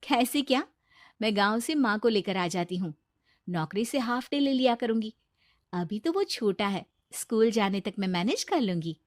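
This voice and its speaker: A woman's voice: on the high side, lively intonation, moderate pace, no echo, studio quality, close to an everyday voice. A woman voicing a self-reliant, strong-willed working wife and mother in a cartoon, firm and indignant. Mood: practical.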